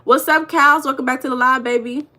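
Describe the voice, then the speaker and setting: A woman's voice: sits high, fairly even intonation, a little fast, pushed and bright. A woman from Los Angeles with an American accent, hosting a casual livestream and reading viewer comments aloud with teasing, slangy banter. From Los Angeles, USA. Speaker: livestream host.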